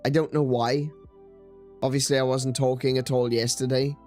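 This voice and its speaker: Male streamer host, mid-pitched, slightly strained croaky voice, loose rambling delivery full of fillers, faint music under. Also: level tone, moderate pace, a little mellow. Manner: rambling, hesitant, many fillers and restarts. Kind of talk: casual live-stream chat.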